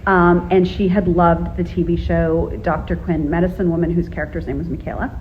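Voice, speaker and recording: A woman in her forties who tells family stories in an animated way, laughing often, in a casual interview with her husband. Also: on the low side, a little fast. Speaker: author, guest. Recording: dull lo-fi sound with background noise.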